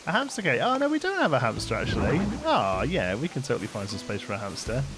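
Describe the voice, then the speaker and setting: Chatty male streamer, deep voice, game music underneath. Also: very animated, quick pace. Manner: chatty, reads out gift notes and thanks viewers. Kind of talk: casual live gameplay chat.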